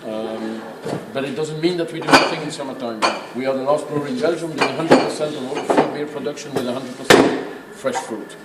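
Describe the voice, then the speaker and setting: A man guides a tour with an emphatic, didactic delivery. He explains informally but with firm opinions. The sound is roomy and echoing, with clear background noise. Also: medium pitch, a little fast, hardly any pauses. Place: indoors in a working brewery.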